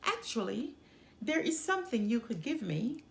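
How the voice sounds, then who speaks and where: A woman's voice: fairly high pitch, very animated, normal speed, vocal fry, echoey room, a little hiss or hum. A woman voicing a polite, hopeful male frog, an enchanted prince, in a storybook reading. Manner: courteous, formal. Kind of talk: storybook character voice.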